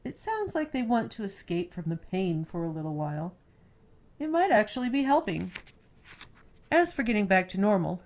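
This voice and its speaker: A woman's voice: on the low side, a little mellow, natural voice. Laid-back, teasing husband, read by a woman. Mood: reassuring. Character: Darius, easygoing, teasing husband in pulp novel. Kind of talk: audiobook character dialogue.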